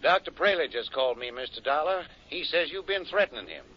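An actor playing a stern, defensive small-town county attorney in a 1950s radio detective drama. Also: male voice, on the high side, expressive, fairly quick, crowd noise, natural voice. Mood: officious. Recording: dull vintage 1950s radio recording.

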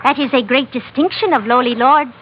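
A devoted young village woman acted by an actress speaks English as a learned language, in flowery, formal phrases. Her voice is high and earnest, on a dull, faintly noisy old radio adventure recording. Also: brisk, lightly acted. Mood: eager, sweet. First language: not English.

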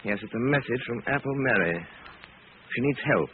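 An actor playing a calm, shrewd gentleman sleuth, measured and authoritative, in 1930s radio drama style. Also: mid-range voice, lightly acted. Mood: urgent, commanding. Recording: tinny, clearly noisy old radio recording.

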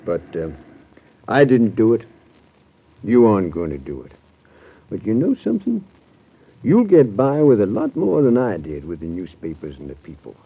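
An American man playing a blunt, folksy, fatherly former president in an old-time radio drama, with a gravelly voice. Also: on the low side, huge pitch swings, normal speed, pauses often, exaggerated voice. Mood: wry. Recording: tinny old radio show recording.

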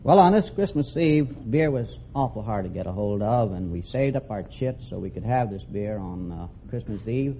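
A man's voice: fairly low pitch, expressive, normal speed, few pauses. An American man, a plain-spoken serviceman, talking as a contestant on a quiz show. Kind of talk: anecdote telling on a quiz show. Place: stage before a live studio audience. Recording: dull vintage radio recording, faint noise.

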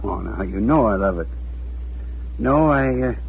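A man's voice: on the low side, expressive, unhurried, breathy edge, full character voice. An actor playing a good-natured middle-aged family father in a 1940s radio drama, homely and reassuring. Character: good-natured, steady family father running a service station. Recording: tinny, noisy 1940s radio recording.

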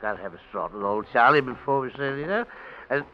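A man's voice: mid-range voice, lively intonation, fast, lightly acted. An actor playing a tipsy, cheerful male ship passenger who rambles and chuckles, in 1940s radio acting, on a muffled old radio recording with faint hiss.

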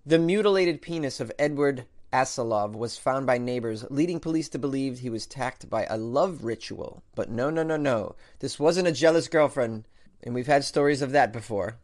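A man hosts a comedy news show in a wry, jokey manner. He reads odd headlines aloud and riffs on them with quick asides and frequent laughter. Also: mid-range voice, a little mellow. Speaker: host.

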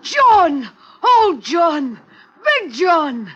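A high-pitched, wheedling little sneak thief. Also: male voice, sing-song, drawn-out words, bright, forceful tone, lo-fi sound, heavily acted. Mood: fawning, wheedling. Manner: cajoling, pleading, repeatedly saying "mate". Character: small, wheedling, sly male pickpocket and drunk. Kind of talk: 1950s radio drama acting.

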